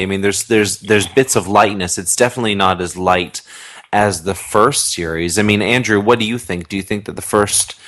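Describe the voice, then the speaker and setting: A man speaking casually as a guest on a podcast, in relaxed conversational talk. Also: on the low side. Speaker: podcast guest, audio drama maker. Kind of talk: casual chat.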